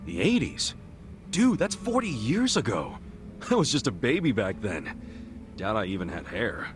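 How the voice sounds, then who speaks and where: Brash, animated middle-aged ex-gangster. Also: male voice, lowish voice, moderate pace, music in the background. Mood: astonished. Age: 40s. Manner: casual, blunt, slangy, expressive. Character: brash, good-hearted middle-aged male ex-yakuza. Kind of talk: English video game voice acting.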